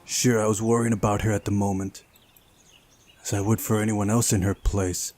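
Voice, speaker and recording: A man's voice: lowish voice, level tone, normal speed, darkish tone. A man reading a visual novel aloud during a playthrough, narrating the story and adding casual asides of his own.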